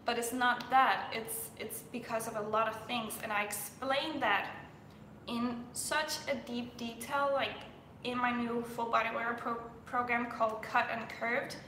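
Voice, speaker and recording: A woman's voice: on the high side, fairly even intonation, measured pace, sharp tone, reverberant, slight background noise, rough recording. A young woman from Denmark, a fitness coach chatting on a live stream, full of fillers and restarts.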